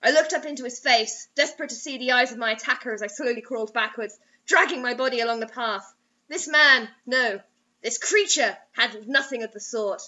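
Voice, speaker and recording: A woman's voice: on the high side, brisk, sharp tone. A young woman reading bad fiction aloud in a theatrical, overwrought, mocking way with asides. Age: young adult. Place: small indoor room. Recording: dull lo-fi webcam sound.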